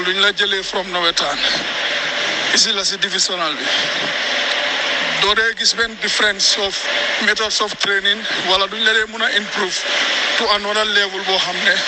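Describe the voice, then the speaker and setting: A man contributing his view casually to a group discussion. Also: high voice. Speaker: discussion participant. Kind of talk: casual spoken opinion on a topic. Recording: clearly noisy background.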